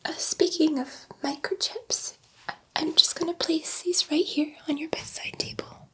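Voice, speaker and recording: Woman as a slow, whispering female alien scientist. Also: high voice, fairly even intonation, poor audio. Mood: soothing, explaining. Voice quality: soft, breathy whisper. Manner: slow, soothing, reassuring, repeats phrases. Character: gentle, curious female alien scientist testing a human. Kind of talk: ASMR roleplay.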